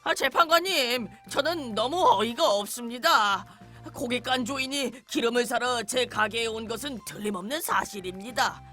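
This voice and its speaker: A woman's voice: on the high side, normal speed, sharp tone, soft background music. A woman playing an indignant oil seller. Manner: exasperated, indignant telling. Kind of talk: children's storybook audio drama.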